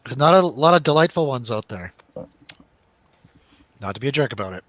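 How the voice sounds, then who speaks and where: A man hosts a casual film review talk in a wry, rambling way. His voice sits at an ordinary male pitch. The recording is dry and a little dull. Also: animated, brisk, a bit bright, no echo. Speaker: host of a film review show.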